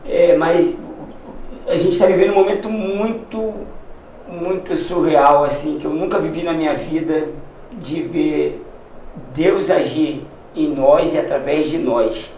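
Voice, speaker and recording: A man, a missionary guest, speaking in casual conversation, halting and emotional, often restarting his sentences. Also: a little high, steady tone, warm tone, recorded from a distance, a little hiss or hum. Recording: echoey room, somewhat off-mic.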